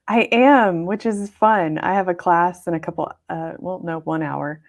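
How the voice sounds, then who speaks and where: A woman's voice: fairly low pitch, a little slow. A young woman, a kindergarten teacher, co-hosting a podcast from her classroom in an upbeat, talkative casual chat.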